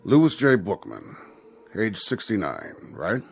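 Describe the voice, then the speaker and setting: Radio drama role: Death as polite bureaucrat, dryly patient, on the deep side. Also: male voice, wildly swooping pitch, slow, creaky, faint music behind, heavily acted. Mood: businesslike. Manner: formal, precise, dryly patient. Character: Death as a polite, businesslike, unhurried male official.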